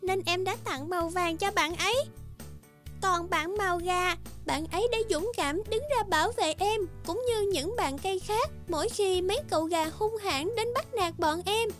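A woman voicing a kind, humble little flower in an animated fairy tale, childlike, polite and earnest. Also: extremely high pitch. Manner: polite, earnest, wide-eyed.

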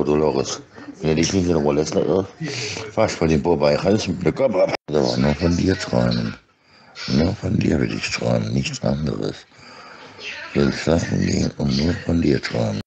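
A man's voice: rumbling low, normal speed, gravelly, a crowd in the background. A middle-aged man rambling insistently and repetitively in an explicit, suggestive monologue, speaking close to a phone or webcam. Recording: dull lo-fi clips.

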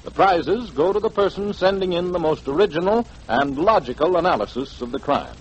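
A man announcing formally and emphatically in the style of 1940s radio promotion, with a husky voice. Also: high voice, expressive, a little fast. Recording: dull, lo-fi 1940s radio broadcast.

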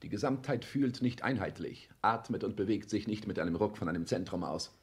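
Casting: male actor as haughty, calculating business upstart for a sixties radio comedy, lowish voice, pompous declamation. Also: expressive, a little fast, natural voice. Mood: self-satisfied. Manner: declamatory, pompous, clipped commands. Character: cold, arrogant, calculating social-climbing businessman. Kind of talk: 1960s German radio play acting, stage diction.

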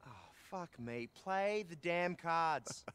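An actor playing a cocky, irreverent Australian army private with a brash, mocking, slangy manner in a war game. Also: male voice, on the high side, animated, takes it slowly, fairly bright tone, clear, resonant tone. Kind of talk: game cutscene acting.

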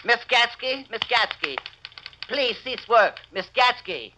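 An actor playing a fussy, ineffectual office manager, formal and hesitant, in a vintage radio comedy. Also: male voice, very high voice, animated, slow pace, noisy background, exaggerated voice. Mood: flustered. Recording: muffled, lo-fi old radio recording.

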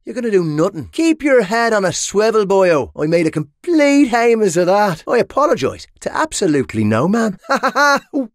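Brash Irish mercenary, high-pitched and animated. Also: male voice, normal speed, hardly any pauses, no room sound, clean studio sound. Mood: sheepish, brash. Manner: cheeky, colloquial, punchy delivery. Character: brash, mercenary male sellsword, cocky and mocking. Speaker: video game character voice. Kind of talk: video game battle voice lines.

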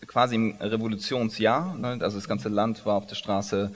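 Thirties male founder from Iran, onstage interview, low voice, restarts a lot, hall echo. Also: fairly even intonation, moderate pace. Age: 30s. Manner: hesitant, many restarts and fillers. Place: large conference hall stage. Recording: long reverb of a big hall.

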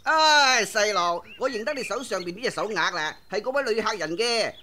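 A pushy, fawning escort chief. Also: male voice, sits high, animated, moderate pace, sharp tone, a little hiss or hum, exaggerated voice. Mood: scheming. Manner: pushy, wheedling. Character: scheming, fawning middle-aged escort chief. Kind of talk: 1990s radio drama acting.

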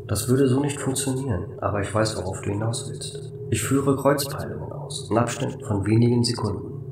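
A man's voice: mid-range voice, fairly quick, lightly acted. A man voicing a composed, dutiful space station computer in an audio drama, reporting formally and matter-of-factly. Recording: echoey, distant, with clear background noise.

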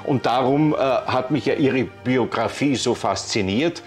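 A man's voice: medium pitch, unhurried, soft background music. A man in his sixties hosting a formal interview in a polite, effusive way, on a lapel mic in an ornate room. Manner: courteous, effusive. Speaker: interviewer, host. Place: ornate indoor reception room.

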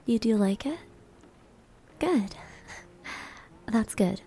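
A woman playing a proud, easily flustered maid with a hidden soft side in an ASMR roleplay, breathy and often whispering. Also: fairly high pitch, expressive, extremely slow, exaggerated voice. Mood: flustered, coy. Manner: coy, grudging, flustered.

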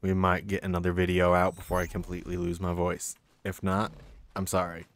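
A man narrating his gameplay in a casual, muttering way, his voice going hoarse and now and then breaking into loud frustrated outbursts. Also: deep voice, completely flat delivery, brisk, a little hiss or hum. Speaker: gaming channel host.

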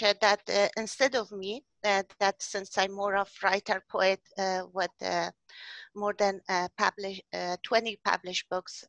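A woman's voice: mid-range voice, a bit bright, dry recording, rough recording. A middle-aged woman from Afghanistan, a writer and poet, speaking in a video-call interview through a headset mic with many hesitant fillers.

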